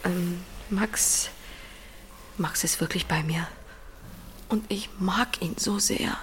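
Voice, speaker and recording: An actress in a German audio drama playing a plain-spoken, tender pig farmer who talks in simple, terse phrases. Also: female voice, on the low side, takes it slowly, fairly bright tone, slight background noise. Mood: hesitant, soft.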